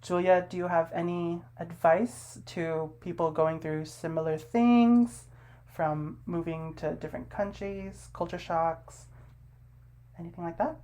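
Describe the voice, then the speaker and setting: A woman's voice: on the low side, steady tone, measured pace, dark tone. A young woman hosting a casual interview podcast, talking in a laid-back way with lots of fillers. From United States. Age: young adult. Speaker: podcast host.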